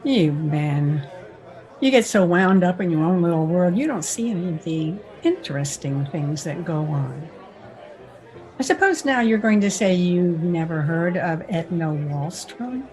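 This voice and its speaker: A woman's voice: lowish voice, big pitch swings, unhurried, a little mellow, some chatter behind, low-quality recording. An actress playing a knowing, worldly frontier saloon woman, wry and teasing, in a radio western. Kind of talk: old-time radio western acting.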